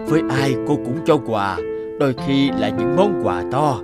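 An actor voicing a kindly old male family guardian spirit in a cartoon, speaking gently and patiently, over loud music. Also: mid-range voice, expressive, moderate pace, lightly acted. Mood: reassuring.

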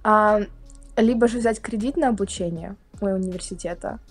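A young woman from Russia chatting casually on a video call, talking with lively hand gestures. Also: mid-range voice, moderate pace, soft background music. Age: young adult. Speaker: guest. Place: indoor room, plain wall.

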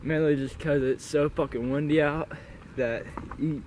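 A man's voice: a little high, fairly even intonation, measured pace, a little mellow. A young man giving a casual, slangy, sweary camping vlog into a handheld camera. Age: young adult. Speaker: vlogger. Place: outdoors on a windy mountain, partly inside a tent. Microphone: handheld camera at arm's length. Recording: noisy wind-blown outdoor camera audio.

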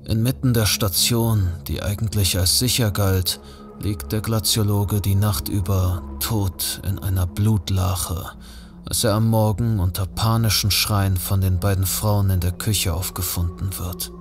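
A man's voice: on the low side, steady tone, measured pace, music in the background. A man giving scripted narration for an audio drama thriller.

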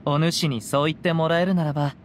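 A man voicing a gentle, reflective young wandering samurai in a video game, speaking calmly and poetically in archaic phrasing. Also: a little high, fast, a little hiss or hum, lightly acted. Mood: calm, wistful. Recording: music behind the voice.

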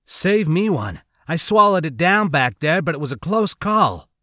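A man's voice: on the high side, very animated, a little slow, pushed and bright, dry recording, studio quality, heavily acted. A man voicing an alert, earnest young woman survivor in an audiobook. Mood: friendly.

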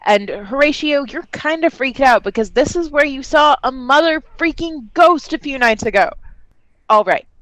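A woman's voice: sits high, expressive, moderate pace, fairly bright tone, dry recording, poor audio. A woman narrating a tabletop role-play podcast as game master, theatrical and slangy in her delivery.

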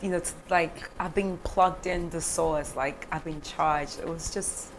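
A young woman from Ghana speaks in a low, deep voice, chatting as a guest on a studio set. She laughs often and talks with animated gestures, with steady noise in the background. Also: measured pace. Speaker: guest filmmaker. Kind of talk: relaxed interview talk. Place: studio set, seated on sofa.

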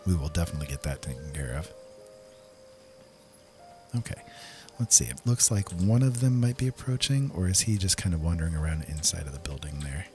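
A man's voice: deep voice, big pitch swings, a little fast, a little mellow. A man giving casual commentary over a video game playthrough, easygoing and thinking aloud as he plays. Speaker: gaming video host. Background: game soundtrack music.

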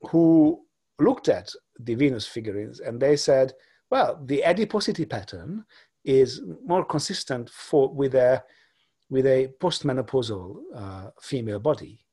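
A man in his forties from Hungary, giving an online lecture from a home room over a laptop webcam, talking haltingly with many fillers and restarts. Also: mid-range voice, animated, mellow, husky. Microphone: laptop webcam on video call.

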